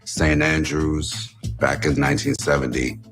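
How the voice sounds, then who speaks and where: A man from Jamaica telling his life story in a documentary interview, relaxed, with many "you know"s. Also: a bass voice, a little slow. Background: music bed.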